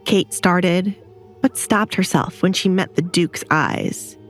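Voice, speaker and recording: A woman reads scripted story narration. Her voice is on the low side, and music plays behind her. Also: slow.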